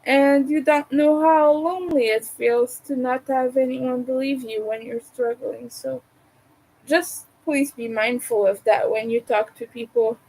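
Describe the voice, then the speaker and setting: A woman's voice: high-pitched, fairly even intonation, measured pace, a little mellow, low-quality recording. A woman who is not a native English speaker, chatting casually while she crochets, hesitating and restarting words. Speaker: crochet vlogger.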